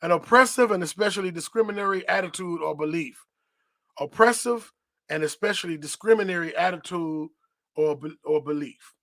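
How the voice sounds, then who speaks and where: A man's voice: high-pitched, a little fast, a bit bright, no room sound. A man hosting a religious podcast, teaching in a preachy, repetitive way, tagging points with "right?" and reading definitions aloud. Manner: reads dictionary definitions aloud. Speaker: podcast host.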